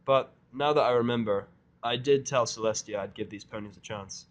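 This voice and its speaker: A male narrator reads a first-person fan story in a mid-pitched voice. His telling is sardonic, with a grumbling edge. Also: normal speed.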